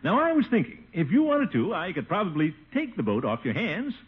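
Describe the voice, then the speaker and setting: An actor playing a pompous, blustering, scheming middle-aged American uncle in a 1950s radio comedy, exclaiming and wheedling. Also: male voice, high voice, huge pitch swings, brisk, husky, full character voice. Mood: wheedling, eager. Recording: tinny, noisy 1950 radio recording.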